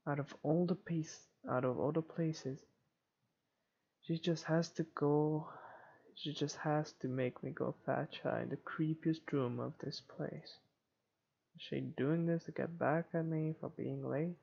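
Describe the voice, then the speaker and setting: A man's voice: on the high side, fairly even intonation, unhurried, gravelly, a little mellow, husky. A man reading visual novel text aloud as a let's player, stumbling now and then and correcting himself as he goes. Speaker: video game let's player. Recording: dull lo-fi home recording, faint noise.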